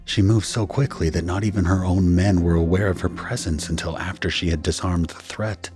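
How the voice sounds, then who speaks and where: Man playing anguished husband narrator, audio drama, deep voice, grave, dramatic, music behind. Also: steady tone, a little fast, lightly acted. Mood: grave, tense. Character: anguished husband and father narrating his ordeal. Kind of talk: scripted first-person audio drama narration.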